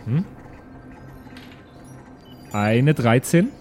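Casual mid-pitched man playing tabletop games. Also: expressive, drawn-out words, music in the background. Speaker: player in a tabletop role-playing show. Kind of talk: casual improvised in-character banter.

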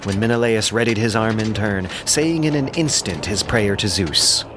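A man narrating an epic audio drama in a measured, theatrical storytelling manner. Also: fairly low pitch, noisy background.

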